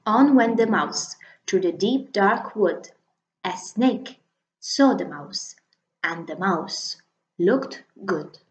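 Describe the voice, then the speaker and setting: A woman's voice: mid-range voice, lively intonation, takes it slowly. A woman reading a children's story expressively as its narrator. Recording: dull, lo-fi recording.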